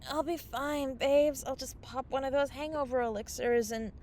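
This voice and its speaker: A woman's voice: sits high, steady tone, moderate pace, darkish tone, a little hiss or hum, close to an everyday voice. A woman playing a sarcastic, exasperated young fairy in a mockumentary-style comedy audio drama, with dramatic, sardonic delivery. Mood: groggy, exasperated.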